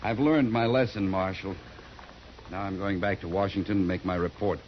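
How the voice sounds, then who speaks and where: Man playing a low-voiced, dignified government official. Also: steady tone, moderate pace, lightly acted. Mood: humbled, dignified. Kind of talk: 1950s radio drama acting. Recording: dull, faintly noisy vintage radio recording.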